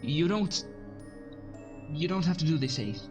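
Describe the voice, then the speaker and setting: A performer playing an enigmatic, guilty time-travelling mentor in an audio drama, hesitant, measured and placating. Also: medium pitch, animated, music in the background, natural voice. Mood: pleading.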